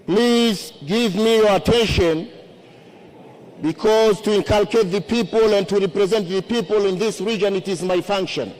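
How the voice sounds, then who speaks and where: High-voiced man, Tanzanian accent, native Swahili speaker, formal parliamentary candidate speech, deliberate, faint background noise. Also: darkish tone, poor audio. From Zanzibar, Tanzania.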